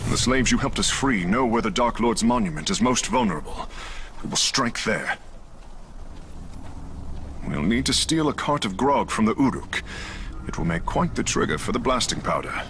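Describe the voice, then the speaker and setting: An actor playing an earnest, resolute rebel leader in a dramatic fantasy video game. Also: male voice, lowish voice, animated, moderate pace, pauses often, background noise.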